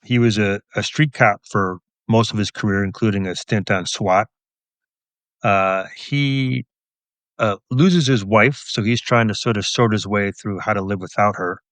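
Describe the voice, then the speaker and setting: A man's voice: lowish voice, steady tone, a little slow, a bit bright, dry recording. A middle-aged man answering as an interview guest in a casual, wry, understated way. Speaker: guest, crime novelist and police officer.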